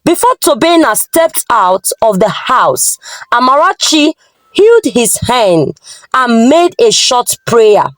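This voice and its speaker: Nigerian female folktale narrator, slow pace, very lively pitch, close dry sound. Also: dry recording. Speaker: storyteller.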